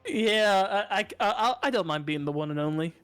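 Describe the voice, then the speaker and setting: A man's voice: high-pitched, animated, moderate pace, fairly bright tone, clean tone, faint music behind. A young man co-hosting a podcast from his desk at home, joking crudely and laughing often. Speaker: podcast co-host. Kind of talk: casual banter.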